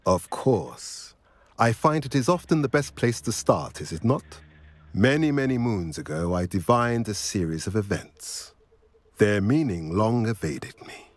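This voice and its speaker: A low, husky centaur sage for a fantasy game. He speaks slowly and formally, with wide swings of intonation, solemn and cryptic yet protective. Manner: formal, measured, archaic phrasing. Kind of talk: video game voice acting.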